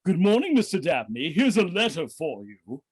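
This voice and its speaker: Man as husky, fussy, excitable mailman. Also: on the high side, normal speed, exaggerated voice. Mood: prim, scolding. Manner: prim, scolding, excitable. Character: fussy, officious male mailman, easily flustered. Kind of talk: old-time radio comedy acting.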